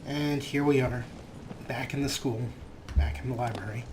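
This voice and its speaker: A man's voice: medium pitch, normal speed, noisy background. Wry, dramatic let's play narrator, man. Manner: dry, dramatic storyteller, refers to his character in third person. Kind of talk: wry narrated gameplay commentary.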